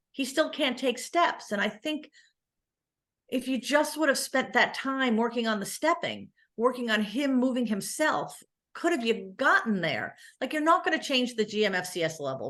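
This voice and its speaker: A middle-aged woman answering questions as a conference speaker in a conversational, animated, anecdotal way. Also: on the high side. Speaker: guest speaker, physical therapist.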